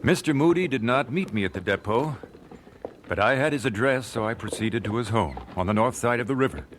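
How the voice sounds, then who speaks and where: An actor playing a thoughtful, genteel American singer recalling his life in a radio drama, measured and well-spoken. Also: medium pitch, lively intonation, lightly acted. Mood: reflective. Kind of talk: radio drama first-person narration. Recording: noisy old radio drama recording.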